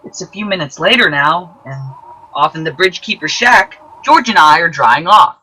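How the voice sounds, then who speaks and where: Actor doing a chirpy eager guardian angel in a radio play, really bright, swoops all over. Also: mid-range voice, husky, rough recording. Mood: animated storytelling. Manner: animated, emphatic, deliberate. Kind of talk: old-time radio play acting with narration to listeners.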